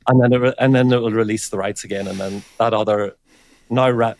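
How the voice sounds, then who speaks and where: A man giving an informal technical explanation as an interview guest, thinking aloud in a halting way. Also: lowish voice, steady tone, a little mellow. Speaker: engineering director, interview guest.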